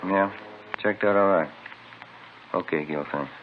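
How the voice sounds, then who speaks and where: An actor playing a businesslike, polite police detective in a 1950s radio crime drama. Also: male voice, deep voice. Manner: brisk, businesslike. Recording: tinny, noisy old radio recording.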